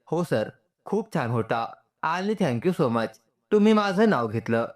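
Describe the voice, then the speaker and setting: A man's voice: fairly high pitch, very animated, slow pace. A man voicing a shy, polite young woman in a story, speaking with hesitant deference. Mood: polite, grateful.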